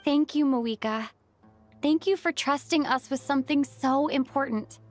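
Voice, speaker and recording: A woman voicing an earnest, modest young female adventurer in a video game, speaking gently. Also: sits high, unhurried. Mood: earnest, thoughtful. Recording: game music behind.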